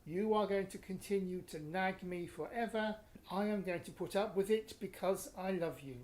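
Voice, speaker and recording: An actress playing a blunt, weary but loving partner in a radio drama. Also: female voice, mid-range voice, normal speed, a little mellow, natural voice. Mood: exasperated. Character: weary, exasperated, loving long-term partner.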